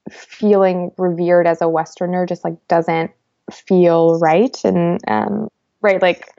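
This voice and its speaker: Young woman, twenties, interview guest. Thoughtful, halting, lots of fillers. Lowish voice, muffled recording. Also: dark tone. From United States. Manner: thoughtful, hesitant, many fillers and restarts. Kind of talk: reflective conversational interview.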